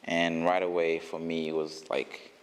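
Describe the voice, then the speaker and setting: Casting note: very low-voiced man, hesitant interviewee, long reverb on the track. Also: completely flat delivery, measured pace. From Uganda. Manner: hesitant, many fillers and restarts. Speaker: brand founder. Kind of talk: casual interview answers.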